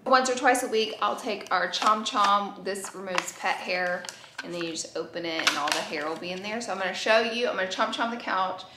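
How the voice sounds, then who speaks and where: A woman in her thirties chatting straight to viewers in a bubbly, casual vlog style while moving around a bright home, recorded on a camera mic at a distance. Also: medium pitch, brisk, noisy background. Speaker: lifestyle vlogger. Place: bright open-plan home, living room and kitchen. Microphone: camera mic, filmed at a distance while moving around. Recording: roomy echo from a large room.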